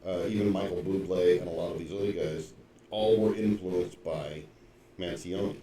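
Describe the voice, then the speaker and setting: An older man with a lowish voice, talking in a loose, rambling, reflective way. He sounds far from the mic, in a very echoey room. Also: fairly even intonation, moderate pace, crowd noise. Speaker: podcast co-host. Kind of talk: casual chat. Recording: roomy echo from far off the mic.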